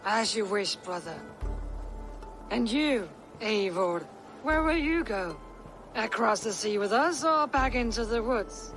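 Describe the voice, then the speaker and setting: Hard-bitten Norse woman, wary, slow deliberate speech, game cutscene, background music. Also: a little high, lively intonation. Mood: resigned. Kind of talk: dramatic game cutscene acting.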